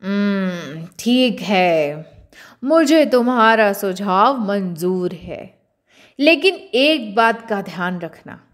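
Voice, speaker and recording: A woman's voice: mid-range voice, lively intonation, drawn-out words. Woman voicing an angry, menacing lion king. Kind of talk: children's storybook character voice.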